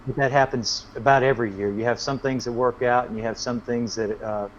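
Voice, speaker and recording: A man's voice: mid-range voice, steady tone, brisk. Dull-sounding laid-back man, casual guest. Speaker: guest, working musician. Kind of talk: casual interview chat. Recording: dull lo-fi connection, faint noise.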